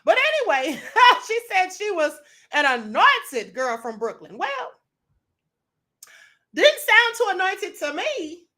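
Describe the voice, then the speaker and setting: A woman hosting her own commentary show, reacting to videos in a sassy, animated way and laughing often. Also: very high-pitched, slow pace. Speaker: show host.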